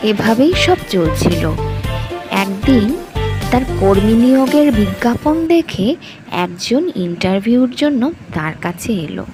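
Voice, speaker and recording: A woman's voice: medium pitch, expressive, a little slow. A woman reading a scripted motivational story as its narrator. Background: music.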